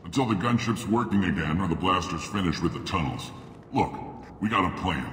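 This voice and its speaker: Gruff merc planner, video game, curt, cynical, deep voice, hall reverb. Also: male voice, expressive, a little fast, fairly bright tone, a little hiss or hum. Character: gruff male mercenary planner and mechanic. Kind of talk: video game cutscene acting.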